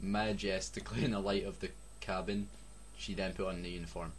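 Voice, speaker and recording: A young man with a Scottish accent reads a clumsy story aloud and keeps breaking off to mock it, swearing freely. His voice sits low. A faint hiss of noise runs under the recording. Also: steady tone, brisk. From Scotland. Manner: sarcastic, swears a lot, breaks off to joke. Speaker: reader. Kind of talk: mocking comedic reading of a story.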